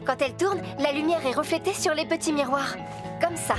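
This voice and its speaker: An actress playing a sensible, wry woman builder in a cartoon, brisk and explanatory. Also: high voice, over music, natural voice. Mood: explaining.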